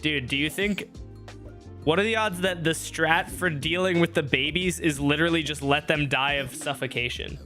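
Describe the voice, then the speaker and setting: A man's voice: a little high, moderate pace, bright, forceful tone, soft background music. A young man streaming a game, rambling and joking at himself as he thinks aloud. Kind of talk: casual live-stream gameplay talk. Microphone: streaming microphone.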